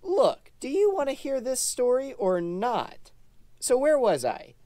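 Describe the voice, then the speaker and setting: Woman voicing a prim dog puppet, lively intonation, deliberate pace, exasperated, storybook reading. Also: high-pitched, no room sound, heavily acted. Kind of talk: children's puppet show storytelling.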